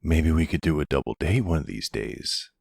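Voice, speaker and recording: A man playing a middle-aged silver fox mafia boss, smitten and commanding, speaking tenderly and gently in an intimate ASMR roleplay. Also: expressive, no echo, studio quality, full character voice. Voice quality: low, gravelly. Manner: slow, tender, measured.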